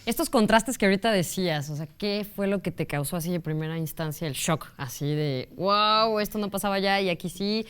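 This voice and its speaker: A woman's voice: mid-range voice, fairly quick, hardly any pauses, clean tone. A woman hosting a television talk show, asking questions in an informal, colloquial way. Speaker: TV show host. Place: TV studio.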